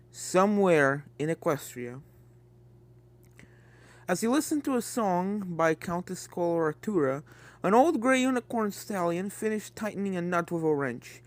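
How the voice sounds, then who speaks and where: A narrator reading scripted fan fiction as storybook narration. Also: mid-range voice.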